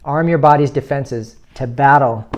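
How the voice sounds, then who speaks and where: A man's voice: mid-range voice, darkish tone, highs cut off, slight background noise. Earnest man in his thirties urging viewers. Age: 30s. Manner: earnest and urging, lists items rapidly. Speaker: health video host. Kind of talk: informal health talk to camera.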